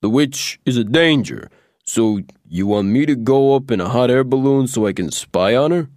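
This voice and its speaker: A man's voice: mid-range voice, expressive, moderate pace, darkish tone, dry recording, lightly acted. A man voicing a wry, worldly munchkin rogue with a dry, sardonic manner.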